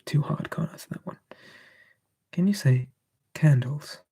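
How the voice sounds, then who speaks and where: An ASMR streamer, softly whispering and musing while answering live chat on a close mic. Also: medium pitch, a little slow, vocal fry, breathy edge. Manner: soft, drifting between whisper and quiet voice, musing.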